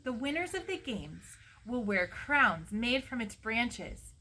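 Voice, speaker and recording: A woman's voice: fairly high pitch, sing-song, slow, pushed and bright, slight background noise, full character voice. A woman voicing a proud, knowledgeable Greek man who guides a young visitor in a storybook, declarative and instructive.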